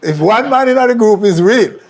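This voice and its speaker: A man's voice: high voice, expressive, moderate pace. A man with a Jamaican accent hosting a music interview, putting questions to his guests. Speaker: interviewer, show host.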